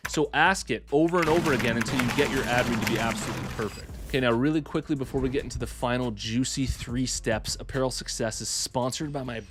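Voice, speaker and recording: A man's voice: medium pitch, a bit bright, slight background noise. Upbeat Canadian man hosting a tutorial. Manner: upbeat, slangy and salesy. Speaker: host. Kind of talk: fast tutorial presenting.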